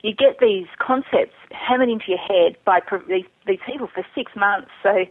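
A woman's voice: medium pitch, moderate pace, breathy edge, dry recording. A woman chatting candidly as a guest in a casual interview, answering questions about herself. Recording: thin narrowband phone or internet call.

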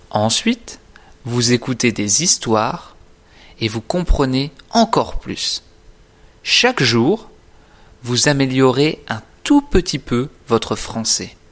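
Slow, deliberate male teacher. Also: mid-range voice. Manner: deliberate, carefully articulated, didactic. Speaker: French teacher presenting own course. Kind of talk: scripted instructional narration.

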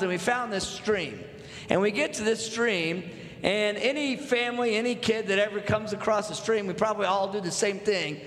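A man's voice: sits high, fairly bright tone, slight background noise. A pastor in his thirties from North Texas with a Texan accent, preaching a sermon earnestly, building with repeated phrases and asking the congregation questions. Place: church sanctuary. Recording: echoey hall with long reverb.

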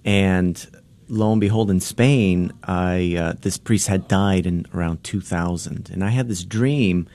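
A man speaks as a radio studio guest, his voice sitting very low. He tells his story earnestly, rambling with many restarts and fillers. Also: warm tone. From Boston. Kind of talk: interview storytelling. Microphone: studio microphone.

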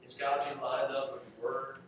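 A man's voice: mid-range voice, monotone, fairly quick. A man preaching a church sermon in an emphatic, repetitive rhetorical cadence. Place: church sanctuary with audience. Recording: echoey room, distant mic, faint noise.

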